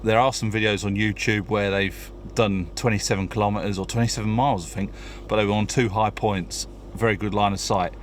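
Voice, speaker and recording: A man's voice: lowish voice, fairly even intonation, a little fast, hardly any pauses. A man talking casually as a vlogger testing two-way radios, switching between offhand remarks and radio check calls. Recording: clear vehicle and ambient noise.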